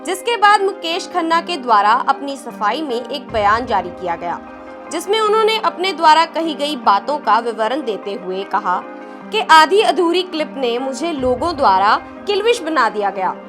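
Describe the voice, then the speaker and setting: A woman news presenter reading a scripted report in a formal, measured way. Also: high-pitched, sing-song. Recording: music bed under voice.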